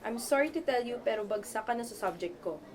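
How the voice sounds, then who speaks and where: A woman's voice: fairly high pitch, animated, brisk, a crowd in the background, natural voice. A woman with a Filipino accent acting as a stern, scolding college professor in a radio drama.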